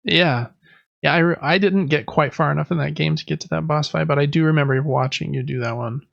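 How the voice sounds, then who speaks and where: Man, fairly high voice, lots of vocal fry, casual meandering co-host, slightly dull lo-fi mic. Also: brisk, a bit bright, no echo. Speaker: podcast co-host. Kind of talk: casual chat about video games.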